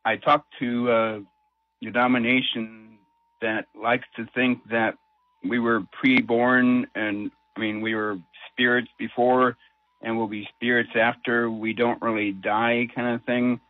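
A man's voice: medium pitch, little pitch movement, measured pace, no room sound. A man calling a radio show with a question, talking hesitantly and searching for his words. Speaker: caller. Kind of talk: phone-in question. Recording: tinny phone line.

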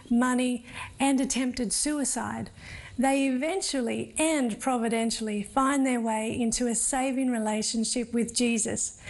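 Female host, higher voice, slow scripted intro. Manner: earnest, deliberate intro reading. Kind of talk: scripted narration.

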